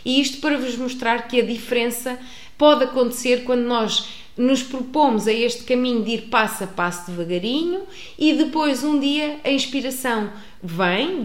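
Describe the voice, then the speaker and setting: A woman with a European Portuguese accent telling a personal travel story to an audience, expressive, with many restarts. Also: fairly high pitch. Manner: expressive, acts out dialogue, frequent restarts. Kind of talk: animated storytelling. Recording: roomy echo.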